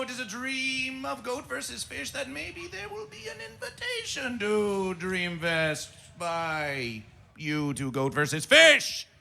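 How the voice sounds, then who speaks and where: A man's voice: very high-pitched, creaky, sharp tone. A man emceeing at a music festival, making grand sing-song proclamations to the crowd over a stage microphone. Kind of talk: theatrical stage announcing.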